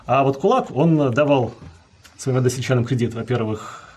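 A man's voice: mid-range voice, moderate pace, a little mellow, husky. A man answering as a history guest, explaining at length in a lecturing way with many fillers.

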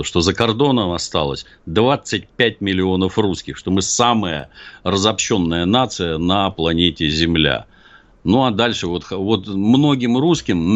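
A man with a very deep, low voice speaks as a guest on a political talk show. He argues with irony and acts out the people he quotes. The sound is dull and lo-fi. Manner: ironic, argumentative, acts out quotes.

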